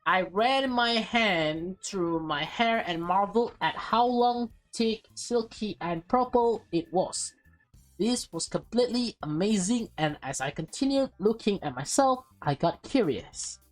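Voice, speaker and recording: A woman reading a visual novel aloud as a let's play host, expressive and breaking into casual, offhand asides. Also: mid-range voice, measured pace, soft background music, low-quality recording.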